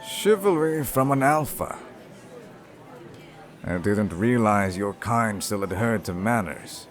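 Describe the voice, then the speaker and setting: A man acting in an audio roleplay as a stern, condescending father, formal, deliberate and snide. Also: on the low side, expressive, soft background music.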